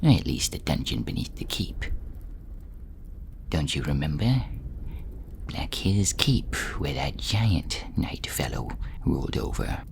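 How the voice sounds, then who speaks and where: A man voicing a meek, chatty dungeon servant with a hushed, throat-burnt rasp and a hesitant, self-effacing manner in an audio role-play. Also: rumbling low, big pitch swings, slow pace, long pauses, whispered, full character voice. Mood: dry, rambling.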